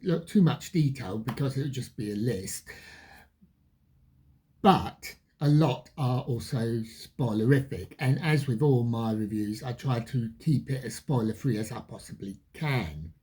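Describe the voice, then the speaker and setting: Slow, husky reviewer with lively pitch swings. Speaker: film reviewer, channel host. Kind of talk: retrospective film review commentary.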